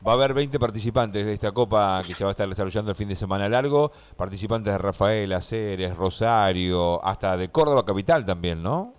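Clear, smooth Argentine radio host, lowish male voice. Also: a little fast, hardly any pauses. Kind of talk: live radio interview. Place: indoor radio studio. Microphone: close studio microphone, headset monitoring.

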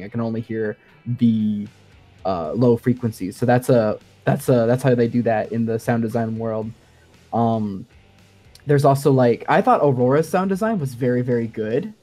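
A woman's voice: a bass voice, moderate pace, warm tone. A woman guest co-hosting a gaming show, explaining things in a casual chat. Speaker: guest co-host, sound design enthusiast. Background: music.

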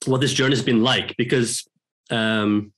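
A man, a guest talking reflectively on a video call from a home room, pausing and restarting often as he thinks aloud. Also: mid-range voice, steady tone, fairly bright tone. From Norway. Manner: hesitant, thoughtful, many pauses and restarts. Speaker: guest, professor. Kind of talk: reflective interview talk. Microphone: headphones on a video call.

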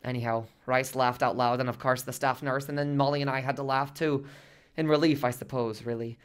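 A man's voice: medium pitch, steady tone, brisk, clean tone, natural voice. An actor in a radio play playing an earnest husband who tells his story in a conversational, rambling way. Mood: earnest, unsure. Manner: conversational, rambling, detailed asides. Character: earnest, chatty working-class husband recalling a hospital visit.

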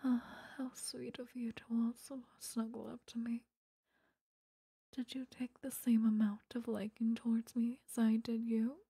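Breathy, whispering obsessive vampire woman, acted by a woman. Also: on the high side, little pitch movement, warm tone, no echo, heavily acted. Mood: blissful, doting. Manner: slow, intimate, cooing. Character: obsessive, doting female vampire lover, possessive and seductive. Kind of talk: whispered ASMR roleplay. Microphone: close microphone, dry.

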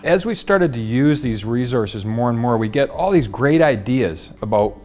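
A man's voice: medium pitch, lively intonation, normal speed, darkish tone. A man in his fifties, a trades instructor, speaking steadily and explaining things in a studio interview on a lapel mic. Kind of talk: testimonial interview. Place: studio with dark backdrop. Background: faint guitar music.

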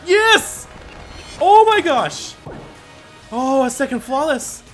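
A man giving casual, excitable commentary as he plays a video game, often talking to himself. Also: very high-pitched, slow. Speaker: gaming YouTuber. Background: game music and gunfire.

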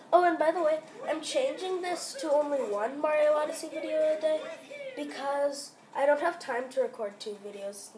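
A woman with a high voice narrating her game as she plays, excitable and quick to exclaim. She is sick, and her voice is wearing thin. Faint music sits underneath. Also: low-quality recording. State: sick, losing voice. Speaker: gameplay commentator. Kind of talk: let's play commentary.

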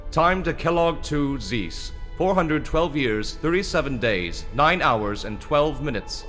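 A sickly sweet ship computer played by a male narrator makes precise announcements in a science fiction audiobook. The voice sits high, lifted well above normal speech. Soft music lies behind it. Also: lively intonation, unhurried. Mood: sweetly impassive.

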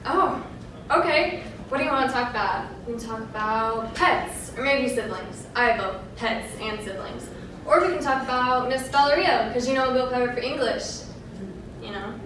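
A woman's voice: fairly high pitch, moderate pace, a bit bright, natural voice. A young woman acting a nervous, eager, rambling teenage schoolgirl in an audition dialogue. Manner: rambling, hesitant. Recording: echoey room, distant noisy camera.

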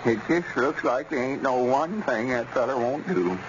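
An actor playing a stubborn old country storekeeper with a rural Southern US accent, folksy and drawling, in a 1930s radio comedy. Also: male voice, mid-range voice, normal speed, natural voice. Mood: dejected. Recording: muffled, noisy old radio recording.

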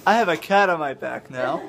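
A man's voice: high voice, creaky, a bit bright. A young man vlogging from an indoor cafe on a phone held in his hand, giggling and cooing to the animals around him. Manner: giggly, talks baby-talk to cats. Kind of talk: casual vlog. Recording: phone audio with room noise.

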